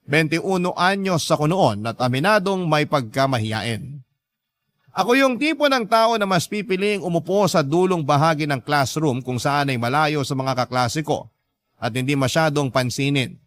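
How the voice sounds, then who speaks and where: A man with a Filipino accent narrating a scripted letter as a radio drama host, in a measured storytelling manner. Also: a little high, animated, a bit bright.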